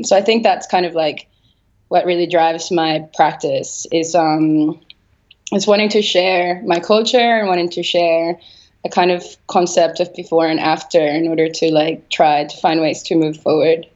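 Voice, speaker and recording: A woman's voice: fairly low pitch, darkish tone. A woman from Venezuela, an artist, giving casual, reflective answers in an interview and feeling her way through her thoughts. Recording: slightly dull, lo-fi sound.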